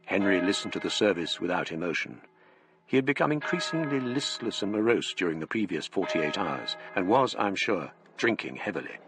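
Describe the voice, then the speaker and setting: An actor playing the formal, measured English narrator of a gothic radio mystery. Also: male voice, low-pitched, lively intonation, music in the background. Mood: measured, ominous. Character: male narrator recounting a gothic mystery in first person. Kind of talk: scripted radio drama narration.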